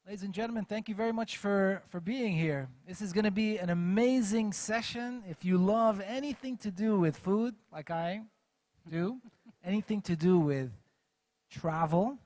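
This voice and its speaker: A man's voice: sits high, moderate pace, no echo. A man moderating a book fair panel, talking to the audience with enthusiasm. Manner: enthusiastic, warm welcome to audience. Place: event stage.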